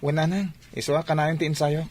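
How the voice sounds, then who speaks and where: Guy playing young athlete son, ilocano accent, earnest, voice on the high side, radio play. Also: steady tone, a little fast, a little mellow, natural voice. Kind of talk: radio drama acting.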